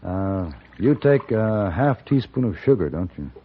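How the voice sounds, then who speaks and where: An actor playing a calm, protective, commanding hired gunfighter in an old radio western. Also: male voice, fairly low pitch, measured pace. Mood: gentle. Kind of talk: 1950s radio western acting. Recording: dull, noisy old radio recording.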